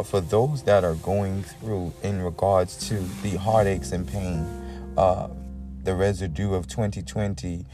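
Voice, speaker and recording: A man with a deep, dark-toned voice leads a devotional prayer as a preacher. He is earnest and leans on repeated amens and invocations, with faint music playing behind him. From the Bahamas. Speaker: preacher leading a morning prayer. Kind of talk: devotional talk and extemporaneous prayer.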